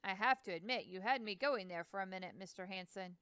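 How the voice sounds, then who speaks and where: A woman's voice: medium pitch, a little fast, fairly bright tone, smooth, no room sound, natural voice. A woman voicing an earnest, courteous young male explorer who speaks eagerly and politely.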